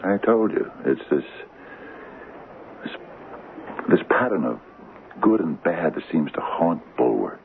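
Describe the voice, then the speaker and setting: Anxious, deep-voiced small-town mayor. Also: male voice, sing-song, slow, gravelly, long pauses, full character voice. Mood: weary, pensive. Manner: hesitant, stammering, earnest. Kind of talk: radio drama acting. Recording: muffled, noisy old radio broadcast, phone-like.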